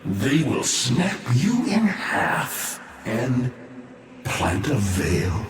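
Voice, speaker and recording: A man's voice: medium pitch, huge pitch swings, extremely slow, exaggerated voice. A male actor playing a bitter, ancient fallen warlord in a video game, mocking and grandiose, with theatrical, menacing delivery. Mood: scornful, mocking. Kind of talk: dramatic video game voice acting. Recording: cavernous echoing reverb, faint ambient noise.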